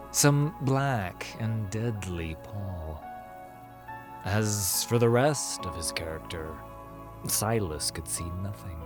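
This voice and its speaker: A man narrating a scripted fantasy story as a storyteller. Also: on the low side.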